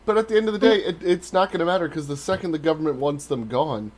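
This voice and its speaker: Casual, sardonic male podcast host, higher-pitched voice. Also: quick pace. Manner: sarcastic joking, swearing.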